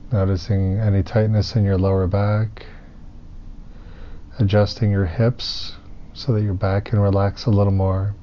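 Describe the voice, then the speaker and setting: A man leading a guided meditation as a teacher, soothing and unhurried, pausing between phrases. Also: on the low side, flat delivery. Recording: muffled, with clear background noise.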